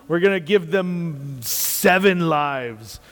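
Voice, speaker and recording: A man from the United States with a loud, carrying voice, talking earnestly in a casual faith talk and repeating phrases for emphasis. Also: a little high, animated, slow, big-room sound.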